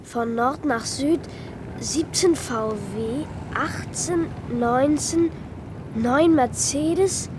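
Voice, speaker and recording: High-voiced, slowly counting young boy. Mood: absorbed counting. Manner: methodical, reciting, absorbed. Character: boy earnestly tallying passing cars in a notepad. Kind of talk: radio play acting, counting aloud in a list. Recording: very noisy street with passing cars.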